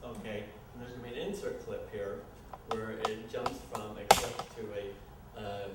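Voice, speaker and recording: Male live narrator, low-pitched, halting and explanatory, recorded far off in a very echoey, noisy room. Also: flat delivery. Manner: halting, explanatory, apologetic asides. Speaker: narrator reading stage directions at a staged screenplay reading. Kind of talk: informal live narration of scene action. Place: live staged reading in a reverberant room.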